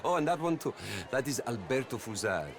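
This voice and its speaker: An actor playing a smug, contemptuous middle-aged Italian crime boss with a theatrical, mock-courteous manner, in a video game cinematic. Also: male voice, medium pitch, big pitch swings, brisk, crowd noise, natural voice. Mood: gleeful scorn.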